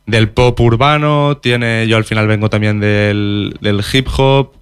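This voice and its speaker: Young valencian guy, singer, talks thoughtful and a bit halting, repeats himself, smooth clean voice, very dry close sound. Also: on the low side, fairly even intonation, no room sound. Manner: thoughtful, restarts and repeats words, says "ya te digo" often. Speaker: singer interviewed about his music. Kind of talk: relaxed interview answers.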